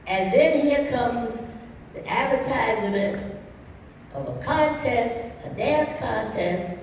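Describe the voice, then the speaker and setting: An older woman from Harlem spins a long story from her youth, animated and quick to laugh. Her voice comes from a distance across a large hall, with a long echo trailing each phrase and faint background noise. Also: on the high side. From Harlem, New York. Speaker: guest dancer. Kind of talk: storytelling on a panel. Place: large hall at a dance camp.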